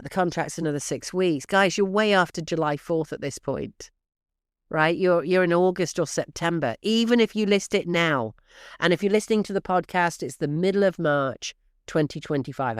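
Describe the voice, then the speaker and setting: A middle-aged woman hosting a real estate podcast, talking straight to listeners in a brisk, emphatic coaching manner, her voice stuffy and snuffly from allergies. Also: deep voice, no room sound, clean studio sound. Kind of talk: direct-to-listener podcast advice.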